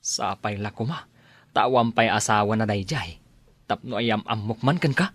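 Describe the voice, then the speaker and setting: A man's voice: lowish voice, moderate pace, no echo, close to an everyday voice. A man with an Ilocano accent playing a smitten, bashful young suitor in an audio drama, hesitant and stammering. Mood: smitten, eager. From Philippines.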